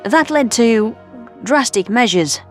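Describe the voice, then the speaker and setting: Scientist role, female actor: slightly high-voiced woman, earnest, sober explainer, light music underneath. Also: animated, slow. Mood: sober, sympathetic.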